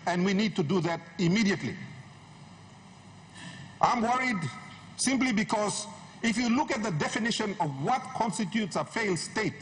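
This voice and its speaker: A middle-aged man addresses parliament in a high-pitched voice. He is impassioned and emphatic, repeating phrases for rhetorical effect. The chamber microphone carries faint background noise. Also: fairly bright tone, rough recording. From Papua New Guinea. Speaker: member of parliament. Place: large wood-panelled parliament chamber. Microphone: desk gooseneck microphone, standing.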